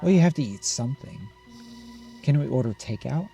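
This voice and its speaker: A man's voice: mid-range voice, animated, fairly quick, vocal fry, dark tone, faint music behind, voice pushed up. A man voicing a worried, earnest mother in a horror game, coaxing and questioning. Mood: apologetic, coaxing.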